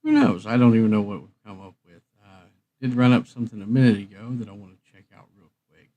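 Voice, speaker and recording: Man, lowish voice, casual live stream host, folksy, rambling delivery. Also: steady tone, fast talker, dark tone, muffled recording.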